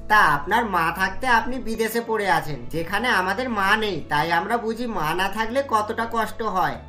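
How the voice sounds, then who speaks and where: A cartoon waiter at a small eatery , performed by a woman. The voice is middle in pitch and plain-spoken, courteous yet ready to retort. Music plays behind it. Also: animated, moderate pace, sharp tone. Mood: explaining. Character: momo shop waiter, polite but quick to retort. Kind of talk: cartoon story dialogue. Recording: music under the voice.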